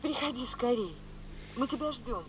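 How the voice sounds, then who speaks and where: An actress playing a gentle wife and mother on the telephone, soft, tender and reassuring, in a 1970s Soviet radio play. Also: fairly high pitch, animated, normal speed, husky, rough recording. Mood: soothing, reassuring.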